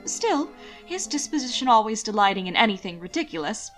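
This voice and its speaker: A woman narrates scripted fiction. Her voice sits somewhat high, and music plays quietly underneath the reading. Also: very animated, brisk. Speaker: audiobook narrator.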